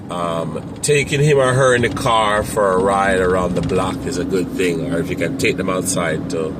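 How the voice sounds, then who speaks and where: A man talking casually to camera as a parent coach, rambling with many fillers and restarts, with a strong, loud voice. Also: fairly low pitch, heavy background noise.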